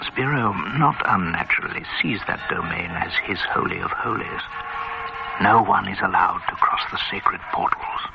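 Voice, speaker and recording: An actor playing a pompous older male gourmet, a club regular who talks in a smug, measured, teasing way in a radio drama. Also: sits low, animated, gravelly. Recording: lo-fi old radio drama, heavy hiss.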